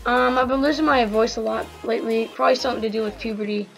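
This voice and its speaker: Child gamer, about eleven, creaky strained voice, casual rambling commentary, music in background. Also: medium pitch, fairly even intonation, quick pace, poor audio. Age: child, almost twelve. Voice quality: slightly strained, voice wearing out. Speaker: gaming video host.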